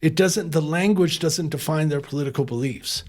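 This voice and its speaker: A man's voice: a little high, moderate pace. A man guest in a conversational interview, speaking in an impassioned, emphatic way with frequent restarts. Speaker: interview guest, former chef turned humanitarian.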